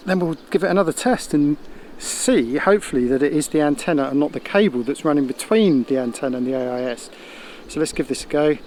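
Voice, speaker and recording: A man's voice: fairly high pitch, a little mellow. A man talking casually to camera as a sailing vlogger, explaining things and thinking aloud in a slightly hesitant way. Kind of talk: casual explanatory vlog talk.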